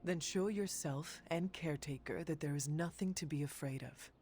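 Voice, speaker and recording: A woman playing a stoic but compassionate warrior hero in a video game, speaking in a measured, formal way. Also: low voice, warm tone, a little hiss or hum, lightly acted. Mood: firm, reassuring. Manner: measured, formal, few contractions.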